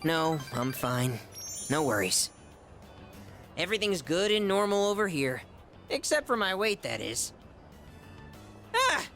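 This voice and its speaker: Gluttonous, pompous high-school boy for a game; high, bombastic, very animated delivery. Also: a little slow, long pauses, faint music behind, heavily acted. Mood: flustered. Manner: bombastic, dramatic swings between squeals and low asides. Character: gluttonous, pompous, theatrical male high-school student, self-styled gourmet. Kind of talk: video game voice acting.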